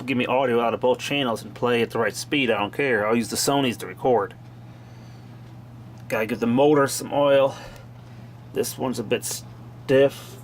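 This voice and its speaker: Male hobbyist repairer, medium pitch, informal and plain-spoken, unscripted how-to narration, noisy home-video sound. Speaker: hobbyist repairer of vintage tape machines. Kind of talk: casual off-the-cuff repair narration. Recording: clearly noisy amateur video recording.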